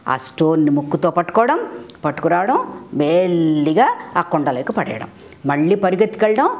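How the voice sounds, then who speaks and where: A woman's voice: on the low side, darkish tone. A woman with an Indian accent tells a story to children like a teacher, asking them questions, repeating phrases and dropping in English words. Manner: mixes in English words and glosses.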